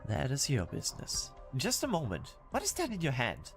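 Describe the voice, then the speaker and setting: Someone doing a stern dry officer type, formal and reproachful, mid-low voice, expressive, faint music behind. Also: normal speed, vocal fry. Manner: formal, clipped, dryly reproachful. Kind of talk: amateur game fan dub acting.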